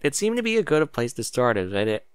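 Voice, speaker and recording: A man reading fan fiction aloud as narrator, in an expressive storybook style with a sardonic edge. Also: medium pitch, fast, clear, resonant tone, dry recording, clean studio sound. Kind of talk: dramatic storybook narration with casual sarcastic asides.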